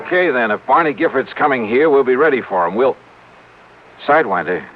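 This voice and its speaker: An actor playing a tough, decisive American investigator in an old-time radio drama, brisk and in charge. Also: male voice, mid-range voice, lively intonation, over loud music, close to an everyday voice. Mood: firm. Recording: muffled old radio recording, faint hiss.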